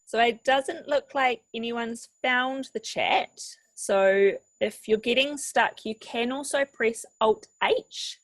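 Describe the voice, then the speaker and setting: A woman's voice: a little high, slow pace, hardly any pauses, fairly bright tone, dry recording, low-quality recording. A woman hosting an online workshop, friendly and encouraging as she guides participants. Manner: friendly and encouraging, repeats "fantastic". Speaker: webinar host.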